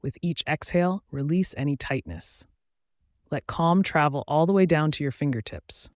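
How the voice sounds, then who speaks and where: A man's voice: a little high, no echo, clean studio sound. Soothing man guiding a meditation. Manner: slow, soothing, even delivery with pauses. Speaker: meditation guide. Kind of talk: scripted guided meditation.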